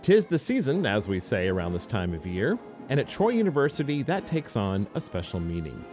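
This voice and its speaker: A man's voice: on the low side, wildly swooping pitch, a little fast, darkish tone, faint music behind. A man hosting a public radio program and chatting as a guest, wry and self-deprecating. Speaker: radio host and reporter. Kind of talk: radio broadcast intro and interview.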